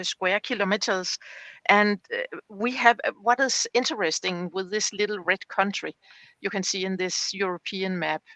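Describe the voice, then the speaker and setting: A woman from Denmark with a Danish accent, a guest on a video call, speaking careful, halting English with many pauses. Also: medium pitch, pushed and bright, dry recording. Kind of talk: casual video call interview.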